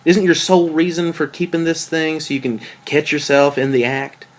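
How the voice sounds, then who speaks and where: A man's voice: on the high side, little pitch movement, moderate pace, close to an everyday voice. A man playing a jealous, wry and insecure boyfriend in a table read of a stage play, conversational and questioning. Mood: probing.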